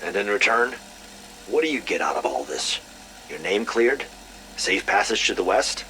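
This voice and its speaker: A curt intelligence officer, takes a call in a game drama. He is guarded and terse, with a deep, forceful voice over clear background noise. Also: big pitch swings, moderate pace, rough recording. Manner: terse, no-nonsense.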